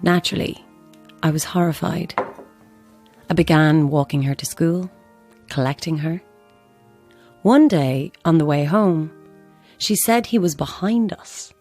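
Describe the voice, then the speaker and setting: A woman's voice: sits low, unhurried, long pauses, darkish tone, soft background music. An actress playing a bereaved mother who believes in ghosts, blunt and insistent, in a naturalistic audio drama. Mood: grave, haunted.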